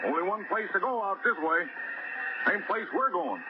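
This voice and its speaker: A terse young rural driver who drops ominous hints and dry jokes in plain country speech. His voice sits high. It comes through a dull, heavily hissing vintage radio recording. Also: sing-song, normal speed. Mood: laconic. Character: young rural male sleigh driver, superstitious. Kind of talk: 1940s radio acting. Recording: muffled 1940s radio broadcast, loud hiss.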